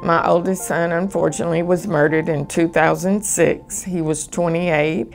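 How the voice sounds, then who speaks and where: A woman in her sixties talks in a gravelly, lowish voice, giving plain and heartfelt testimony. Soft music plays quietly underneath. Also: steady tone, normal speed. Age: 60s.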